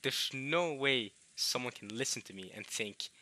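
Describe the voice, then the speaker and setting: Bright-voiced man, halting chat. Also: mid-range voice, animated, rough recording. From Norway. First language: Norwegian. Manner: halting, restarts often, chuckling self-deprecation. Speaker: visual novel let's player. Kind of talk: casual chat to viewers.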